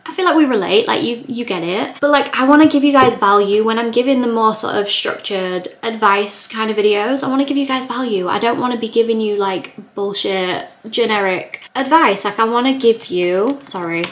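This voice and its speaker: A woman in her twenties with a slightly croaky voice, chatting casually to camera from her bedroom in a rambling, filler-heavy way. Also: on the high side, brisk, hardly any pauses. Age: 20s. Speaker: vlogger. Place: bedroom indoors. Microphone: camera at desk distance.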